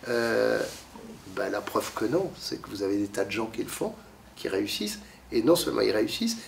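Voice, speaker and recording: A man's voice: mid-range voice, gravelly, a little mellow, far from the mic, slight background noise. A man with a cold giving a talk to a library audience, lecturing with lots of fillers and restarts, coughing now and then. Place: library meeting room.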